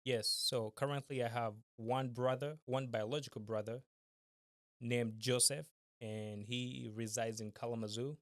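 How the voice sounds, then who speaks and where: A man in his twenties, originally from Kenya, a guest answering questions on a podcast in a polite, measured and formal way. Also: medium pitch, steady tone, fairly bright tone, dry recording, studio quality. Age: 20s. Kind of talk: casual interview.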